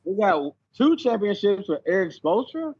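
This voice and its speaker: Husky higher-voiced man, slightly muffled audio. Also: very animated, normal speed, darkish tone, no room sound. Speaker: podcast guest. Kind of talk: casual sports debate.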